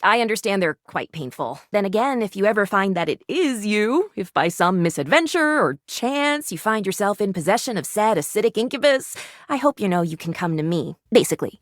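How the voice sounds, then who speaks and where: A woman's voice: mid-range voice, no echo, studio quality, lightly acted. A woman playing a perky, eccentric, gleefully morbid lady doctor in a video game, with gushing, old-fashioned, melodramatic phrasing. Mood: morbidly eager. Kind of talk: animated video game character acting.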